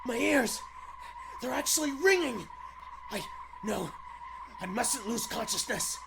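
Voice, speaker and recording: A man's voice: very high voice, expressive, slow, creaky, noisy background, full character voice. A man playing a bold, earnest superhero thinking aloud, declamatory and melodramatic in 1940s radio serial style. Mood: straining, alarmed.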